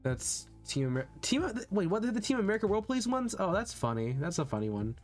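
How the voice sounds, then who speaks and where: Higher-voiced man chatting casually on stream. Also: very animated, fairly quick. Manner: informal, thinking aloud, repeating words. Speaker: streamer hosting a voice acting practice session. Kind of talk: casual livestream chat. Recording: soft background music behind voice. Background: background music.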